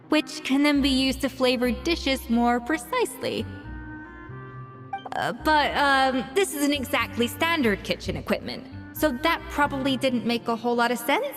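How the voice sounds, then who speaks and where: Exacting young chef, highish, reverberant voice. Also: female voice. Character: exacting, self-assured young female head chef. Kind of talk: video game voice acting. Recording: reverberant, with music behind.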